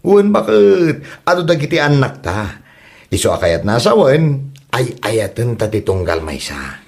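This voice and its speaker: A man's voice: mid-range voice, very animated, unhurried, creaky, a little mellow. A man playing a playful, amorous young husband full of excuses, with an Ilocano accent in a comedy drama. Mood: defensive.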